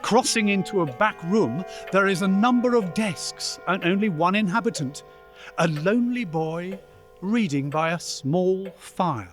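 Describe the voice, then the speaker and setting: High-pitched, unhurried male storyteller. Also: faint music behind. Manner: measured, dramatic. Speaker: narrator. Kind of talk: dramatic scripted storytelling for a radio play.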